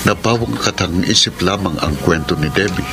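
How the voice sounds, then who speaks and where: A man's voice: low-pitched, moderate pace. An actor playing a troubled, earnest young male lover in a Filipino radio drama, with melodramatic delivery. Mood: solemn, reflective. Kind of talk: Filipino radio drama acting and letter narration. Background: dramatic background music.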